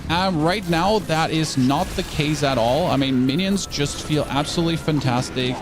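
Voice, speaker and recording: A German man chatting excitably and casually while streaming a video game, often stumbling and restarting his words. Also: on the high side, normal speed, background noise. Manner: chatty, excitable, self-correcting. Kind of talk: casual gameplay commentary.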